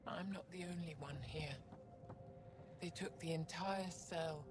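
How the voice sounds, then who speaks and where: A woman's voice: fairly low pitch, steady tone, measured pace, natural voice. An actress playing a proud, embittered alien woman freed from captivity in a video game, grave and intense. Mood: wary, weary. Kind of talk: video game cutscene acting.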